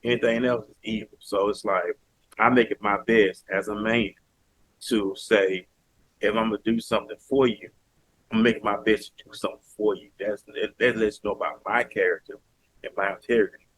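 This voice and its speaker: Man, Southern Alabama accent, emphatic preachy podcast guest, low voice, dry sound. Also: fairly even intonation, brisk, fairly bright tone, no room sound, poor audio. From Mobile, Alabama, US. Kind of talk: casual interview talk.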